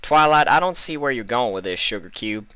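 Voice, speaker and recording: A storybook farm mare, acted out by a male reader, pitched well up and bright. She is friendly and folksy, with a skeptical, drawling way of talking. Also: animated, brisk, smooth, no echo. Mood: incredulous. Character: friendly, skeptical young female farm pony with country drawl.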